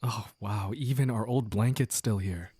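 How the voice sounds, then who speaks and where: Man acting a slow-talking, playful young sweetheart. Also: lowish voice, warm tone, breathy edge, dry recording. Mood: warm, nostalgic. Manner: halting, bantering, mock-dramatic asides. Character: playful, teasing young man sneaking out with his childhood sweetheart. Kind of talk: intimate romantic audio roleplay acting.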